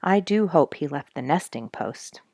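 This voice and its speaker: A worried, home-loving male bluebird from a children's storybook, read by a woman. He speaks earnestly and thoughtfully in a low womanly register, with a dry, close sound. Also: expressive, unhurried, mellow, dry recording. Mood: worried, hopeful.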